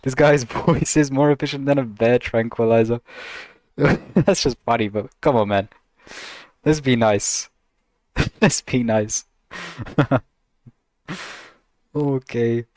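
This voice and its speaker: A man's voice: mid-range voice, slow, long pauses, a little mellow, dry recording. A man casually reacting to videos on a stream, laughing a lot and teasing in a slangy, joking way.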